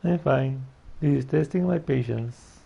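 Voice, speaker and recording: A man giving casual commentary over a video game, with a gravelly voice and a muffled mic. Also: mid-range voice, slow pace. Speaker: gameplay streamer. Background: game music.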